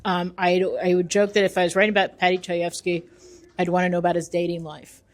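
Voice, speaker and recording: A woman's voice: fairly low pitch, little pitch movement, fast. A middle-aged woman, an author and researcher, answering interview questions conversationally in an emphatic, opinionated, explanatory manner.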